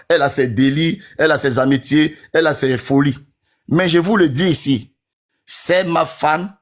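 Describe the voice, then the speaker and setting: Insistent rambling man in his forties. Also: fairly high pitch. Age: 40s. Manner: rambling and insistent, keeps asking if listeners understand. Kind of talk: casual spoken monologue.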